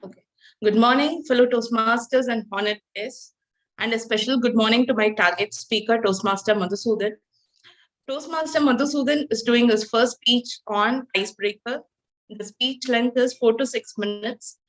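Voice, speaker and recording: A woman's voice: a little high, moderate pace, rough recording. A woman giving a polite, formal introduction at a club meeting over a video call. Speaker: speech evaluator.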